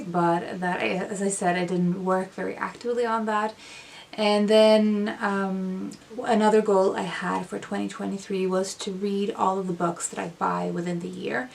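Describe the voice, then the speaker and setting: A woman's voice: medium pitch, vocal fry, recorded from a distance. A young woman whose first language is Swedish, talking about her reading in a thoughtful casual vlog, with frequent ums and self-corrections, recorded at home in front of bookshelves. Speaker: book vlogger. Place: indoors, small room with bookshelves.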